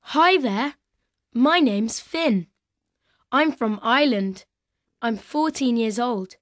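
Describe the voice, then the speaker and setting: An artistic fourteen-year-old boy talks about his hopes in a classroom recording. The voice is fairly high, with slow and careful pacing. It sounds close and dry, as if recorded in a studio. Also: lively intonation, no room sound. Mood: friendly. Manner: slow, clear, careful delivery. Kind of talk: scripted coursebook audio.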